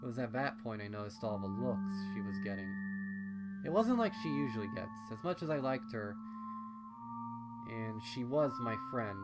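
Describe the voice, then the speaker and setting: A man with a mid-pitched voice reads fan fiction as first-person narration. His delivery is conversational and measured, and loud music plays behind him.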